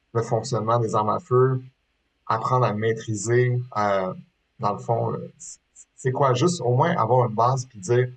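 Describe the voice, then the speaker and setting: A man's voice: mid-range voice, poor audio. A man in his thirties from Quebec with a Québécois accent, talking casually as an interview guest, hesitant with many fillers and restarts. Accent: Québécois French. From Quebec, Canada. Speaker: guest, sport shooter.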